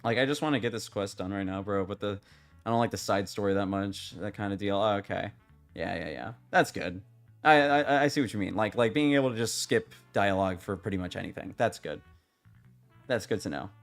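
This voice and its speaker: A young man streaming a game, chatting casually with viewers in a rambling, halting way, his voice slightly raspy. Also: lowish voice, faint music behind. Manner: rambling, halting, many restarts and fillers, swears casually.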